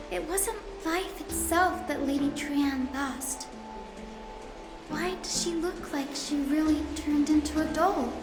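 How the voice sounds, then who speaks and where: High-voiced, gentle young healer. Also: female voice. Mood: confused grief. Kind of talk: dramatic video game voice acting. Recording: echoey hall reverb with music.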